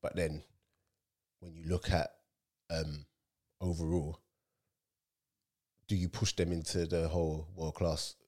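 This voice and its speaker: A man's voice: deep voice, flat delivery, slow, pauses often, mellow. A man hosting a casual football podcast, loose and conversational. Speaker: podcast host.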